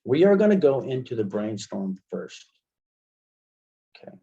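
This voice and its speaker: A middle-aged American man presenting an online software lesson step by step, in an informal, halting way, often checking in with "right?". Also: mid-range voice, big pitch swings. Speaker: webinar presenter and instructor. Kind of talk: software tutorial, explaining step by step. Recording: muffled, dull computer-call audio.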